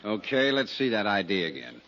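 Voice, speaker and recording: A man's voice: on the low side, big pitch swings, fairly quick, bright, forceful tone. A man playing a blunt, needling but decent American police lieutenant in old-time radio detective style. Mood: brisk, skeptical. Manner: blunt, clipped, sarcastic questioning. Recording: dull old radio drama recording.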